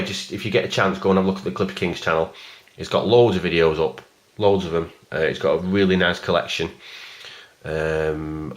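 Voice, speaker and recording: A man giving a casual, rambling video review of a collectible figure in a plain, even voice, stopping often for ums and uhs. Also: deep voice. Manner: rambling and hesitant, many fillers.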